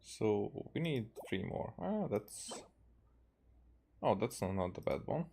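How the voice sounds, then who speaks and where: A man from Hungary with a Hungarian accent, a native Hungarian speaker, giving casual, halting game commentary as he thinks aloud. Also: lowish voice, sing-song, creaky, dark tone, a little hiss or hum. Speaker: game streamer.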